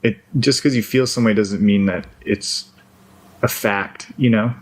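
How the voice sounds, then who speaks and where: A man with a fairly low voice chats casually on a call. He stays calm and keeps gently questioning the other person. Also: unhurried. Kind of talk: casual phone conversation.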